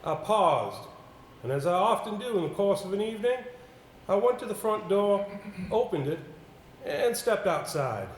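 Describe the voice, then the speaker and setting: A man on a theater stage acting a nervous, earnest gentleman who recites awkwardly, with a hesitant, apologetic and formal manner. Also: sits high, sing-song, normal speed. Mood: stiff reciting. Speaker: stage actor in an amateur two-man play. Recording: roomy theater stage recording, background noise.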